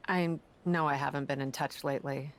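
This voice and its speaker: A woman's voice: low-pitched, fairly even intonation, a little fast. A woman playing a brutal, defensive female pro wrestler with dry wit in a video game cutscene.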